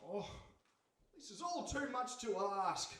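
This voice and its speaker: A henpecked fisherman played by a man in live radio theatre, high-voiced and swooping between timid hesitation and exasperated grumbling. He drags out his words, in an echoey hall at a distance from the mic. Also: sing-song, a little slow, fairly bright tone, a little hiss or hum, heavily acted. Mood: grumbling, anxious. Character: meek, henpecked, reluctant fisherman husband. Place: hall, live stage performance.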